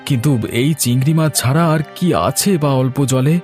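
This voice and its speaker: A man's voice: medium pitch, normal speed, over music, lightly acted. A man playing a poor, downhearted fisherman in a cartoon, lamenting plaintively. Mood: dejected. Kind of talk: cartoon dialogue acting.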